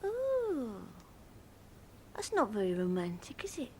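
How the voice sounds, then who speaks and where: An actress playing a cheeky, hot-tempered young maidservant with a working-class English accent in a period drama. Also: female voice, a little high, wildly swooping pitch, takes it slowly, warm tone, slight background noise, exaggerated voice. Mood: teasing, chatty. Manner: saucy, blunt, colloquial. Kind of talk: period television drama acting.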